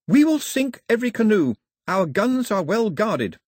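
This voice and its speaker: Man voicing a defiant girl, pitched up. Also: wildly swooping pitch, a little fast, darkish tone, slightly rough, no echo, studio quality, full character voice. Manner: urgent, challenging. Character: bold, defiant, sharp-tongued young girl.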